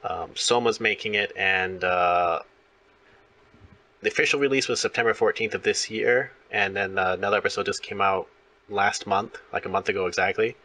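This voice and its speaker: A man's voice: fairly low pitch, moderate pace, bright, forceful tone. A man hosting a conversational interview, explaining things in a rambling way. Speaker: interviewer, host.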